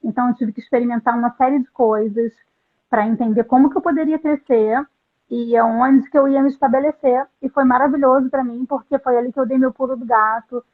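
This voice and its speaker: A middle-aged woman with a somewhat high voice tells her story in an earnest, halting way, often restarting her sentences. The sound is thin and phone-like, as over a video call. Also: fairly even intonation. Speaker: translator, interview guest. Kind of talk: reflective interview storytelling. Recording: tinny phone-like line.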